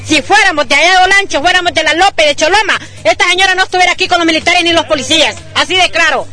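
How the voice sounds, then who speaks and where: A woman's voice: very high-pitched, fast, bright, forceful tone, soft background music. A Honduran woman in her fifties, a local resident speaking angrily and defiantly outdoors, swearing freely. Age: 50s. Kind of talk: heated street interview.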